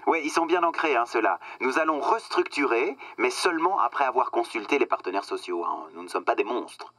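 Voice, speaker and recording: Smug corporate boss, bright forceful voice. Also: male voice, mid-range voice, expressive, dry recording. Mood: smooth, condescending. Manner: slick, condescending, mock-earnest coaching with emphatic pauses. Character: smug cynical male corporate boss peddling euphemisms. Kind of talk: satirical French radio drama acting.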